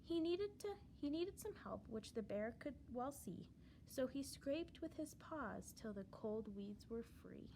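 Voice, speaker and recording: A woman reads a rhyming children's story aloud in a high voice. Her intonation is very lively, lifting and falling with dramatic emphasis on the verse. A slight noise sits behind her narration. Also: a little slow, gravelly, low-quality recording.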